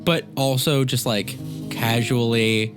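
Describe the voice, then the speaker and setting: A man plays a tabletop roleplay game in a casual style, moving between character and game talk. His pitch is middling and music plays clearly behind him. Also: steady tone, slow pace. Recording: music under the voice. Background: background music.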